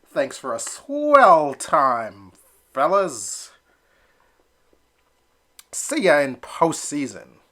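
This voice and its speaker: A man doing a high, chirpy boy duckling. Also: wildly swooping pitch, drawn-out words, pauses often, pushed and bright, full character voice. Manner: high, bouncy and cheeky. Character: cheerful young boy duckling, artsy and sassy.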